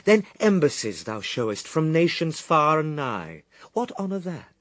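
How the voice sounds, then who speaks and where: Slow, solemn, steadfast holy man. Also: medium pitch, dry recording. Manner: solemn, declamatory, disdainful. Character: Jesus, stern, steadfast Son of God rejecting temptation. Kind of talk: dramatic verse radio drama.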